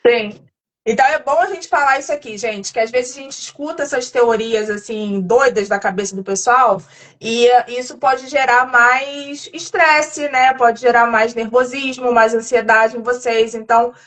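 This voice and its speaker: A young adult woman from Brazil hosting a live stream, talking in a quick, chatty storytelling manner. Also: fairly high pitch, fairly even intonation, fairly bright tone. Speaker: host nurse.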